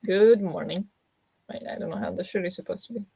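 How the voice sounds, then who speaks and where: A woman's voice: mid-range voice, fairly quick, gravelly, warm tone, husky, no echo. A young woman hosting a reading livestream, just woken up and groggy, chatting casually to viewers with many fillers and restarts. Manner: rambling, self-deprecating, many fillers and restarts. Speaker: book vlogger hosting a reading sprint.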